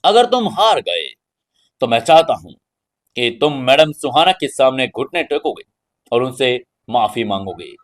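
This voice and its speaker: A man's voice: mid-range voice, very animated, unhurried. A middle-aged male medical chief played by a narrator in an audio story. He is arrogant and stern, delivering his terms coldly. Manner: cold, stern.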